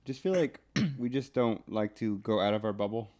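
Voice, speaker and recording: A young man with a fairly low voice talks in a relaxed podcast chat. He is easygoing and lets his sentences trail off. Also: moderate pace. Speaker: podcast co-host. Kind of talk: casual chat.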